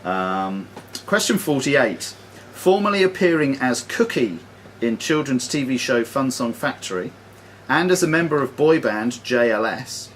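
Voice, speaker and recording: Quizmaster, lively man over faint noise. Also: medium pitch, unhurried. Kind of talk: reading quiz questions aloud to players. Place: sports and social club room. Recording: noisy live room recording.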